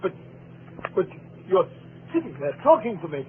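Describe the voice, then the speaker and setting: Tinny vintage radio, agitated weak conspirator, high voice, stammering, faint noise. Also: male voice, big pitch swings, normal speed. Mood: frightened. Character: weak, panicky male murder conspirator. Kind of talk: 1940s radio mystery acting.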